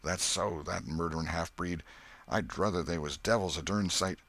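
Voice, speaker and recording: A man voicing a superstitious, fearful young country boy with a hushed, shivery, folksy rustic dialect in a storybook. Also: deep voice, normal speed, creaky, no room sound, full character voice. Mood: dread.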